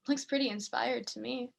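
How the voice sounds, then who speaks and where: A woman's voice: fairly high pitch, steady tone, measured pace, creaky, breathy edge, exaggerated voice. A young woman acting on stage as a morbidly curious girl on a date, speaking casually and a little hesitantly. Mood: curious, chatty. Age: young adult. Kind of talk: student stage acting, naturalistic dialogue.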